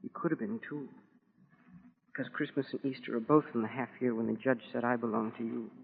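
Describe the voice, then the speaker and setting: An actor playing a wistful, proud military school boy in an earnest, halting monologue to his mother, in 1940s radio drama style. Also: mid-range voice, fairly even intonation, creaky, breathy edge. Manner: plain boyish colloquial talk, earnest and halting. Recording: scratchy, narrow-band 1940s radio recording.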